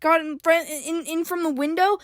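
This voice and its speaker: A woman voicing a frightened, anxious young girl who stammers and rushes her words. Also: flat delivery, no room sound, lightly acted. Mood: frantic. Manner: stammering, frantic, high-pitched.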